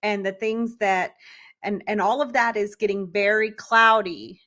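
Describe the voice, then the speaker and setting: A woman in her thirties hosting an interview, speaking in a reflective, steady and articulate way. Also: mid-range voice, a little slow. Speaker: host. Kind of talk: conversational interview.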